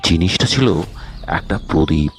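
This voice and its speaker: A man narrating a scripted fairy tale as storyteller, in measured, short dramatic phrases. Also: deep voice, lively intonation, faint music behind.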